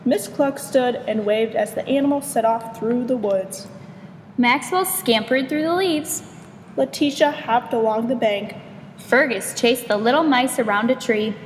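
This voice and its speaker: Woman doing expressive kids story read aloud, highish voice, echoey room, bit of background noise. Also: a little slow. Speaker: storybook reader.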